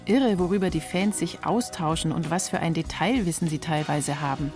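A woman's voice: low-pitched, normal speed, music in the background. A woman reporter reading scripted narration for a radio feature.

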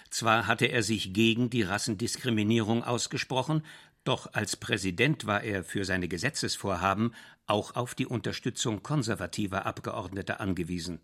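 Low-voiced male documentary narrator. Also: fairly bright tone.

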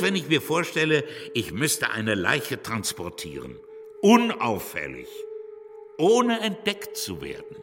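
Radio monologue: rhetorical, emphatic musing man, lively pitch, reverberant space. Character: man coolly musing aloud about murder. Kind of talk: German radio drama monologue. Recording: long reverberant hall, faint noise.

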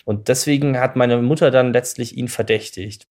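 A man's voice: mid-range voice, normal speed, a little mellow. A man in a casual conversation telling his own story, sounding nervous and self-conscious. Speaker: guest telling a story from school days.

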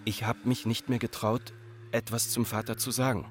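Actor as anxious farmer's son, Austrian accent. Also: male voice, mid-range voice, flat delivery, a little hiss or hum, lightly acted. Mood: brooding, ashamed. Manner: deliberate, repetitive, dramatic storytelling. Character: anxious grown son of an obsessive farmer father. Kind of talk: literary radio drama monologue and dialogue.

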